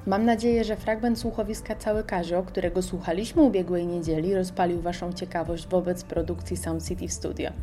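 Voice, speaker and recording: A woman hosts a radio show, introducing a drama to listeners. Her voice is lowish and mellow, with light music behind her. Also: fairly even intonation, brisk, hardly any pauses. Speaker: radio host. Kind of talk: radio presenting.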